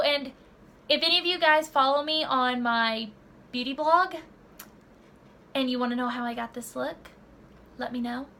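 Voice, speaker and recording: A woman's voice: high voice, gravelly, pushed and bright, a little hiss or hum. A woman in her early twenties chatting casually to her camera at home, rambling with many fillers and restarts. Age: 20s. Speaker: vlogger. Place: home room.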